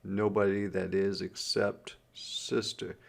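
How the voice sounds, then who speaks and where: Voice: deep voice, level tone, takes it slowly, gravelly. A teacher reading a children's story aloud, explaining simply and putting questions to the listener.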